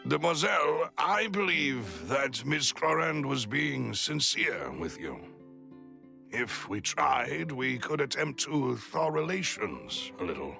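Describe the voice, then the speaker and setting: A man's voice: medium pitch, very animated, slow, vocal fry, bright, forceful tone, soft background music, full character voice. A man playing a courteous, protective attendant to a young lady in a video game, polite, tactful and formal. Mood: gentle counsel.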